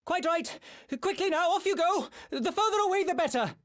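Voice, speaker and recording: A man's voice: extremely high pitch, lively intonation, moderate pace, fairly bright tone. A man playing a proud, wry scholarly mage in a video game cutscene, speaking in formal, archaic phrases. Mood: urgent, commanding.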